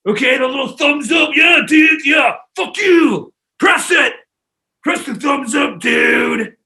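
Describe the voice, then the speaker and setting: A screaming wrestling-promo champion with a very high, gravelly voice. He is a hot-tempered braggart who shouts insults in a comedy sketch. His tone is bright and cutting. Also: exaggerated voice. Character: shouting pro-wrestler-style male champion, furious braggart.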